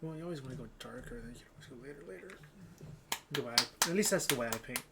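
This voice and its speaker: A man chatting casually while he paints miniatures, animated and given to muttering and swearing. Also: mid-range voice, rapid-fire, heavy background noise. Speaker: show regular.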